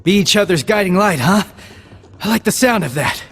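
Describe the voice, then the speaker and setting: A man voicing a laid-back, sharp-minded young man in a video game. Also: high-pitched, animated, normal speed, slightly rough, full character voice. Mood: approving. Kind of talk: English video game voice acting.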